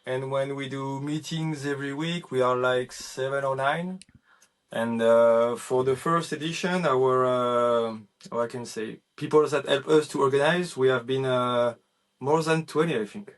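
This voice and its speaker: A French-accented man chatting loosely over a video call. His voice sits at an ordinary middle pitch, and he searches for words with plenty of pauses and fillers. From France. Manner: laid-back, hesitant with frequent fillers. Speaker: guest. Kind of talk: casual chat.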